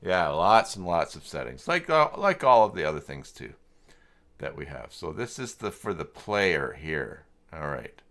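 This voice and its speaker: Deep-voiced, slow-paced man giving a software walkthrough. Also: sing-song. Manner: informal, unscripted, muttering through steps as he clicks. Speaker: livestream host and software tutor. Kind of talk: casual live software walkthrough, thinking aloud.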